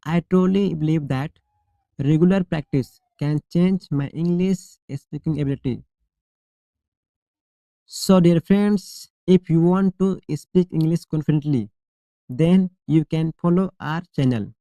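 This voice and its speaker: A male English teacher leading a shadowing drill, reading each model sentence slowly and deliberately, then repeating it clearly. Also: a little high, mellow, dry recording, low-quality recording.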